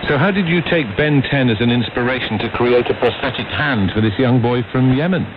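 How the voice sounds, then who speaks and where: A dull shortwave signal with clear static carries a male radio presenter with a mid-pitched voice. He moves between interview questions and scripted narration links in a broadcast style. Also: a little fast. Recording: muffled, noisy shortwave radio reception. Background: clear radio static and noise.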